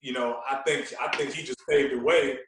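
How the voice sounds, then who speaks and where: A man's voice: mid-range voice, steady tone, normal speed, slight background noise. A man speaking as a DJ guest on a podcast, chatting casually in slang, with a very echoey sound. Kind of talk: casual chat. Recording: very echoey, roomy remote sound.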